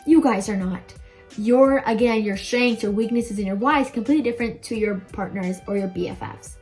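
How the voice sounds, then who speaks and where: A woman's voice: medium pitch, fairly quick, darkish tone, soft background music. A young woman giving casual advice to viewers as a language-learning video host, chatty, informal and emphatic. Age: young adult.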